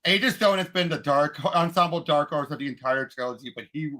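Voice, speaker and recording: A man co-hosting a casual movie discussion podcast, talking emphatically and excitably and jumping in often. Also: on the high side, brisk, background noise. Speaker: podcast co-host.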